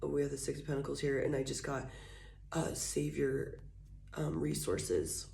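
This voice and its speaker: A woman giving a casual tarot reading. She is hoarse from being sick and talks softly and slowly, with fillers. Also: rumbling low, expressive. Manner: soft, slow and drawn-out, with scattered fillers. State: hoarse, recovering from illness, coughing. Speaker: tarot reader. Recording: echoey room, faint noise.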